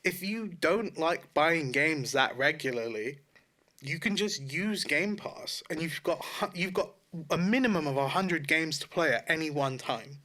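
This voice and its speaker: A man with a fairly high, very bright voice talks in a casual podcast style. He is informal and loose-tongued, often rephrasing himself as he makes his point. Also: expressive, unhurried. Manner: informal, sweary, self-correcting. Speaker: podcast co-host. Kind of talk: casual opinionated gaming podcast chat.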